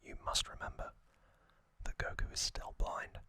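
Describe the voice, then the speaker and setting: Whispering male ASMR host. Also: sharp tone. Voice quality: breathy unvoiced whisper. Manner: unhurried, halting, short phrases.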